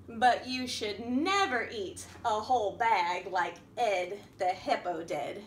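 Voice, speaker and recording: A middle-aged woman hosting a children's storytime, reading and explaining in a sing-song, animated manner with simple questions for small children. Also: on the high side. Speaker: library storytime host. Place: small indoor room at a wooden table. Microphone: camera a few feet away. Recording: roomy echo, faint background noise.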